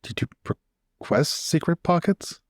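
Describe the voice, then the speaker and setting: A loyal bodyguard, played by a man in a tabletop game. The voice sits mid-range but moves widely in pitch, recorded dry, with wry, understated teasing. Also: expressive, measured pace, no echo. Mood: mild. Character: loyal, wry male bodyguard, unbothered by bloodshed. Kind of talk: improvised tabletop roleplay.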